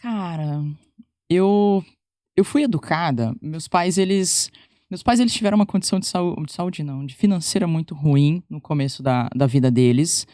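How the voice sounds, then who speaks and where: A woman's voice: low voice, moderate pace, warm tone, no echo. Chatty Paraná-accented woman, dry sound. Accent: Paraná Brazilian Portuguese. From Brazil, born in Minas Gerais, raised in Paraná. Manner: chatty and informal, many fillers and self-corrections. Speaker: physiotherapist and podcast guest. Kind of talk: casual interview conversation.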